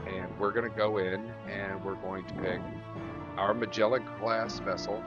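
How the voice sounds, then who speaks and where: A man with a lowish voice talks through a space game on a live stream, relaxed and showing things off as he goes. The audio is dull and muffled, with music playing underneath. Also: moderate pace. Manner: relaxed, narrates what he shows. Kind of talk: casual gameplay commentary.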